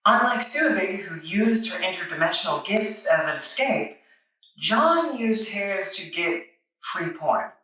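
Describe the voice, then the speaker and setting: A woman narrates a playful scripted review with wry, tongue-in-cheek delivery. Her voice is bright and medium-pitched, heard a little away from the microphone in a very echoey room. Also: animated, unhurried, distant mic.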